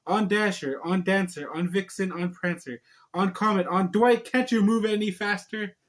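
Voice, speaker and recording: Man acting out jolly Santa, bossy, theatrical, exclaiming, fairly high voice, storybook. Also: moderate pace, close to an everyday voice. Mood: impatient shouting.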